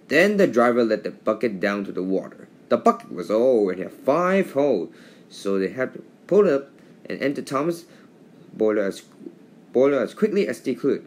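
A man reads a children's storybook aloud. His pitch moves around a lot, and he often trips over words and goes back to repeat them. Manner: stumbles and repeats words while reading. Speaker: storybook reader.

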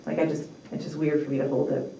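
A woman talks fast in a soft, mellow tone. She sounds informal and a bit tentative. The room is echoey, with faint noise behind her. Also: low-pitched, level tone, creaky, far from the mic, lo-fi sound. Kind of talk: conversational public comment at a meeting. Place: mid-sized indoor meeting room. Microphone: far room microphone.

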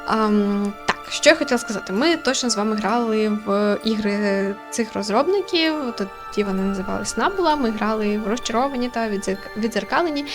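Chatty lower-voiced woman over game music. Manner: chatty, informal, thinking aloud. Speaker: gaming channel host. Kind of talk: casual let's-play commentary and reading aloud.

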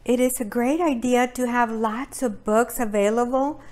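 A middle-aged woman giving a demonstration for parents as an early childhood educator, speaking in simple, deliberate phrases with short pauses. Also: on the high side, fairly even intonation, darkish tone. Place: indoors at a table by a brick fireplace.

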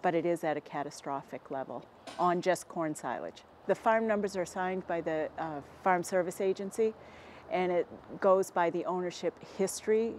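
An American woman in her forties, a dairy farmer answering interview questions in a plainspoken, halting and measured way. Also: fairly low pitch, a little mellow, slight background noise. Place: inside a barn with hay bales. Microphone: lapel mic.

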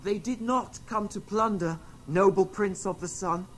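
A man's voice: sits high, unhurried, a bit bright, a little hiss or hum. An actor playing a brave, earnest, courteous young boy adventurer in a radio drama. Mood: courteous, pleading.

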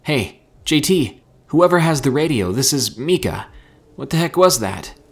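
A man voicing a hot-tempered, panicky young man in a horror story, urgent and quick to shout. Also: fairly low pitch, breathy edge, full character voice. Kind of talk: dramatic horror audiobook character acting.

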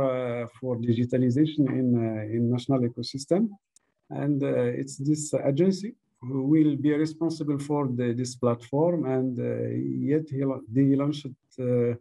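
Halting man presenting over a video call. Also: medium pitch, gravelly, dark tone, poor audio. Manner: halting, many fillers and restarts. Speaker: statistics office presenter.

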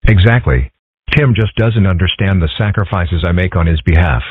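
A man voicing young animal boys in a cartoon comic dub, matter-of-fact and deadpan in delivery. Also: sits low, moderate pace, breathy edge. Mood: earnest. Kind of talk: scripted comic-strip dub. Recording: dry, muffled phone-like audio.

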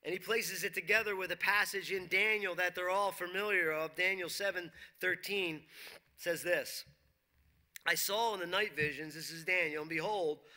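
Bright, high-voiced preaching man. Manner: emphatic preaching with rhetorical questions, reads scripture aloud. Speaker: pastor. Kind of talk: sermon. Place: church stage, dark brick wall behind.

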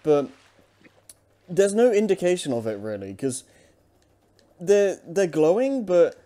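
Deep-voiced young man, mellow and laid-back. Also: takes it slowly. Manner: relaxed, hesitant, trails off with fillers. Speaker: let's play host. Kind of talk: casual chat over gameplay.

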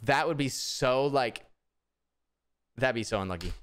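A man's voice: mid-range voice, lively intonation, measured pace, fairly bright tone, smooth. A young man streaming from his room into a desk mic, reading aloud and reacting informally as he muses. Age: young adult. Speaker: streamer reacting to a horror video. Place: small indoor room at a desk. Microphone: desk microphone close to the mouth.

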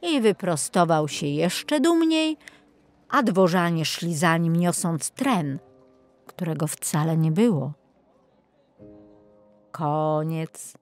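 A woman narrating a children's fairy tale as an audiobook, reading from a script in an expressive storytelling manner. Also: on the low side, takes it slowly, long pauses, soft background music.

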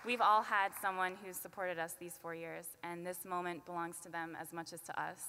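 A young woman in her twenties giving a commencement speech at a podium, earnest and measured with light self-deprecating asides. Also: lowish voice, little pitch movement, sharp tone, some chatter behind, slight background noise. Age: 20s. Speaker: student commencement speaker. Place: large arena at a podium. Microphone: podium microphone. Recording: long arena reverb.

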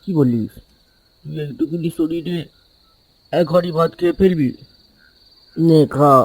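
Man as lazy, headstrong village son for cartoon: youthful, highish, mellow tone. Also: measured pace, no room sound, low-quality recording. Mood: exasperated.

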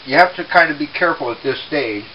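A man presenting a casual home cooking demonstration in an unhurried, explanatory way, recorded in a kitchen. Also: on the high side, expressive. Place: small home kitchen, indoors. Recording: dull, hissy home camera audio.

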